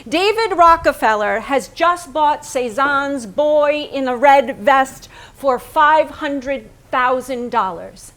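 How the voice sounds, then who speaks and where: A woman on stage playing a painter's anguished, yearning wife in a theatrical dramatic reading, with deliberate, halting phrasing. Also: high-pitched, expressive, fairly bright tone, exaggerated voice. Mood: dry, declaiming.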